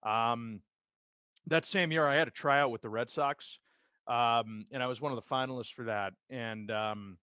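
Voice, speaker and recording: A man's voice: mid-range voice, unhurried, sharp tone, smooth, no echo. American man chatting in a dry, close recording. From Massachusetts, United States. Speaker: podcast guest, sports public address announcer and radio host. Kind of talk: casual conversational interview, career storytelling.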